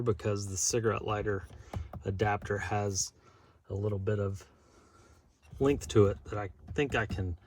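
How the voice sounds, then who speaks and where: A man casually talking through a hands-on install, halting and thinking out loud as he works. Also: on the low side. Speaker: DIY vehicle install presenter. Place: in and around a parked pickup truck. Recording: noisy vehicle sound with clicks.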